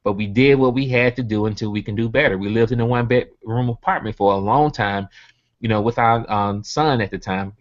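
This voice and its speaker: A Southern man with an Alabama accent gives a motivational business talk over a hangout, his mid-pitched voice coming through a computer mic. He fires off one rhetorical question after another and circles back to hammer his main points. The audio is dull and lo-fi, like a web call. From Birmingham, Alabama. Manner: rapid-fire rhetorical questions, repeats key points. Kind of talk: online motivational presentation. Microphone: computer mic on a video hangout.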